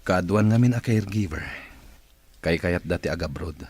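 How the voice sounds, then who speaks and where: Deep, husky, irritable man, acted out by a man. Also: moderate pace, darkish tone, no echo. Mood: curt, impatient. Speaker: actor in an audio drama. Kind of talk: dramatised story dialogue.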